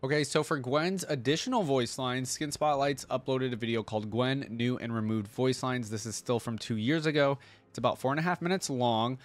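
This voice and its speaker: A man's voice: mid-range voice, brisk. A young man with an ordinary mid-range voice. He chats casually as a reaction host, rambling through his thoughts in slangy, enthusiastic fan commentary.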